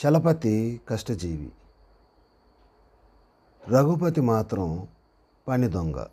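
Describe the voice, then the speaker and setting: Male storyteller for read-aloud moral tales: husky, mellow, unhurried, phrase-by-phrase delivery with pauses. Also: fairly low pitch, very animated. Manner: deliberate, pausing after short phrases. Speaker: narrator of a handwriting and moral story channel.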